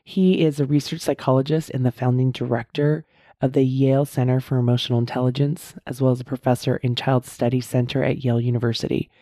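Middle-aged female podcast host, hoarse and husky, low mellow tone, close dry mic, earnest coaching delivery. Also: flat delivery, no room sound. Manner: earnest, encouraging, repeats key points slowly. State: hoarse, strained voice. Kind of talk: casual solo podcast talk.

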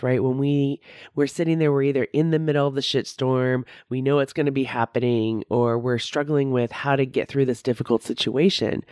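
A woman of about fifty hosts her own podcast from a studio, speaking in a dark, mellow tone. She has a head cold and talks conversationally, often tossing in "right?" as she coaches her listeners. The sound is close and dry with no room around it. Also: a bass voice, no echo. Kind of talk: solo podcast monologue. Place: recording studio.

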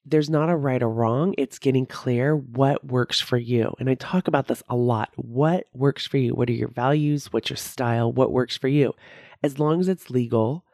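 A woman's voice: extremely low pitch, normal speed, dark tone, no echo, clean studio sound. A woman of about fifty with a head cold, hosting a solo podcast as a coach and talking conversationally, often tagging sentences with "right?". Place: recording studio.

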